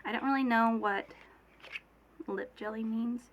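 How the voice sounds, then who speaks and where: A woman's voice: a little high, fairly even intonation, unhurried, a little hiss or hum. A young woman with a cold, her voice a little off, chatting casually through a beauty unboxing, rambling with fillers and self-corrections. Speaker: beauty vlogger. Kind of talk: casual product unboxing chat.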